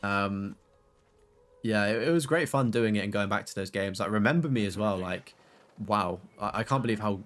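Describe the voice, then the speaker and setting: A man's voice: lowish voice, moderate pace. A young man chatting casually on a game live stream, rambling with fillers and casual swearing.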